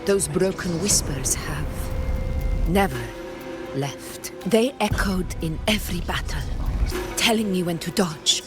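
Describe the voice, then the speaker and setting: Slow, solemn warrior queen. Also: on the low side. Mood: brooding, resolute. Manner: solemn, measured, commanding. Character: proud warrior woman ruler burdened by prophetic whispers. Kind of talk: video game voice acting. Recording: music playing loudly over the voice.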